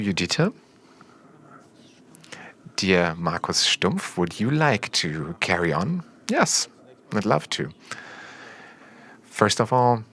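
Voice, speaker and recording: A man with a fairly low but bright, forward voice interprets a panel discussion live. He delivers it piece by piece, with halting phrasing that breaks sentences into short fragments. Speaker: simultaneous interpreter.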